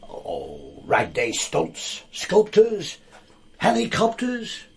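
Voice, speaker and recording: An actor playing a wry, bookish, world-weary detective inspector in a radio drama, with a dry, pompous turn of phrase. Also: male voice, high-pitched, wildly swooping pitch, drawn-out words, gravelly, a little mellow, slightly rough, heavily acted. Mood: wry, musing. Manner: dry, pompous, quote-laden turns of phrase.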